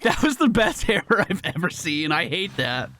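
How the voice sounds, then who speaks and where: A man's voice: high-pitched, sing-song, normal speed, pushed and bright. A man giving casual video game commentary, playfully thinking aloud and repeating his phrases. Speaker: gaming video host.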